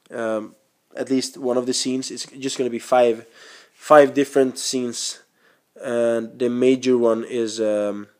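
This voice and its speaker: A man's voice: mid-range voice, darkish tone. Swedish-born man rambling with a cold. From Sweden. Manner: rambling, many ums and restarts. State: has a cold. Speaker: podcast host and stand-up comedian. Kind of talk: casual monologue.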